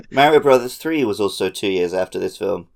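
A man's voice: on the low side, lively intonation, moderate pace, creaky, darkish tone. A man co-hosting a podcast, talking casually as he lays out his thoughts. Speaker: podcast co-host.

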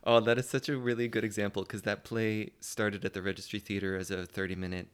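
Low-voiced man chatting loosely, a bit giggly. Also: fast, clear, resonant tone. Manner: loose, animated, giggly, trailing off. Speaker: playwright, interview guest. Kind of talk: casual chat.

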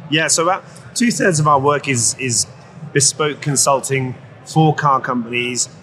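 A man's voice: mid-range voice, moderate pace, sharp tone. A man, an executive guest, explaining his field conversationally in an interview at a trade show. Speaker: guest, company chief executive. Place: live at an automotive trade show. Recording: noisy trade show floor. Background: clear ambient noise.

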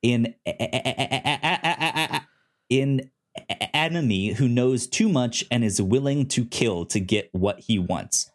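A man co-hosting a casual review podcast, talking informally and haltingly, often stuttering and repeating syllables. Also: mid-range voice, lively intonation. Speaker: podcast co-host. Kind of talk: casual review show chat.

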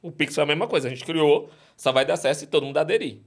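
A man from Ceará, a podcast guest talking in an animated, emphatic, exasperated way. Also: a little high, fast, vocal fry. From Ceará, Brazil. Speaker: guest, payments company director. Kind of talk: casual podcast interview.

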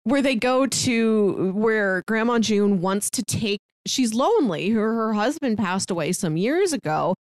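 A woman's voice: fairly high pitch, lively intonation, a little slow, few pauses, no room sound, studio quality. A woman in her early thirties co-hosting a casual film discussion, retelling scenes conversationally with bits of quoted dialogue. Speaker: podcast co-host.

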